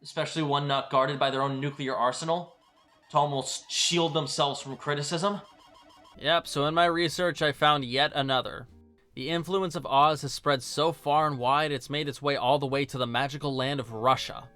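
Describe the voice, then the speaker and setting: A man's voice: a little high, fairly even intonation, moderate pace, pushed and bright, faint music behind. A man giving scripted video-essay commentary in a sarcastic, informal, joking manner. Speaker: video reviewer and host.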